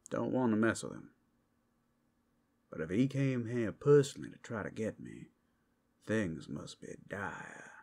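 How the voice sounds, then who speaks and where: A man voicing a flirtatious, sly male werewolf in an ASMR roleplay, with teasing, drawn-out asides. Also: on the low side, sing-song, creaky, darkish tone, full character voice. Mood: casual, cautioning.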